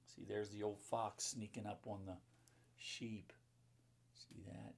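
A man's voice: on the low side, little pitch movement, takes it slowly, vocal fry, slight background noise. A man in his fifties, a pastor, reading a children's story and explaining it simply in chatty asides. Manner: simple explaining to young listeners. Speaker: pastor and children's book author. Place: indoor book-lined room at home or office.

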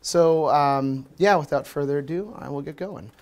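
A man with an American accent, in his thirties, gives a relaxed talk at a meetup in front of a projector screen. His voice sits a little high, and he speaks loosely with frequent "um"s and "uh"s. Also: normal speed. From Washington, D.C., USA. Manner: relaxed, chatty, many fillers. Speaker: conference speaker. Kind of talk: casual presentation talk.

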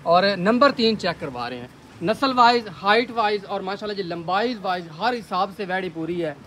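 A man's voice: sits high, animated, normal speed, a bit bright, a little hiss or hum. A man in his thirties with a Punjabi accent, hosting a casual on-site video that presents cows for sale to viewers. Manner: upbeat, praising, addresses viewers directly. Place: outdoor dairy farm yard.

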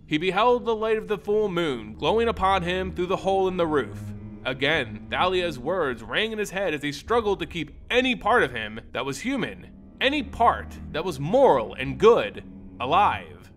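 A man giving a dramatic scripted narration of a fantasy tale in a theatrical, expressive manner. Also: fairly high pitch. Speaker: storyteller.

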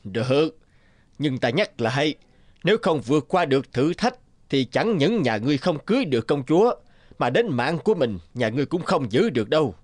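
A man's voice: on the high side, very animated, a little fast, no echo. An actor playing a stately old king in a fairy-tale cartoon, with a pompous, commanding delivery. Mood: stern. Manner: pompous, authoritative. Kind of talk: animated fairy-tale dubbing. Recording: music bed behind the voice.

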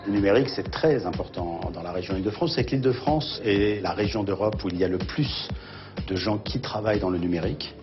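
A man giving unscripted news interview answers, hesitant, often pausing mid-phrase. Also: lowish voice. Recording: dull sound with music behind. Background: prominent background music.

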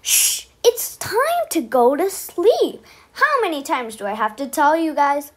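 A woman's voice: extremely high pitch, big pitch swings, slow pace, heavily acted. A woman voicing a tired, grumpy male zebra who keeps shushing the other animals and begging to sleep. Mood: weary pleading. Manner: weary, pleading shushing.

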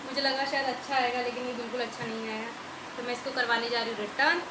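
Casting: high-pitched woman in her thirties doing a rambling, repetitive home unboxing vlog, recorded in an echoey tiled room. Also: fairly even intonation, fast. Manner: chatty and rambling, repeats herself; gets more emphatic when complaining. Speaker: vlogger. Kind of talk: casual product unboxing review. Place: small tiled room indoors. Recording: echoey tiled room, loud background hiss.

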